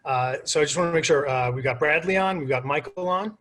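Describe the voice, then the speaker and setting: A man's voice: a little high, fairly quick, fairly bright tone. Male panel moderator on a call. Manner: friendly, with many "uh" fillers.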